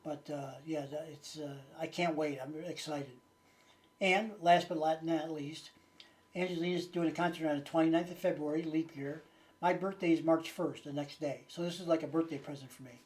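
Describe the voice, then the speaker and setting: A man's voice: on the high side, fairly even intonation, moderate pace, rough recording. A man in his late sixties chatting casually and unscripted to his viewers as a channel host, rambling in a folksy way with hesitant phrasing. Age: late 60s.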